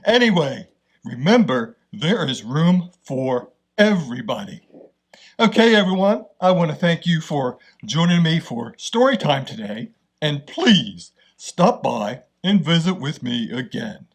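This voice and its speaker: A man's voice: on the high side, big pitch swings, a little slow, husky, exaggerated voice. A man voicing a kindly, encouraging bear who hosts a children's storytime. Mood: kindly, cheerful. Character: friendly, gentle bear storytime host.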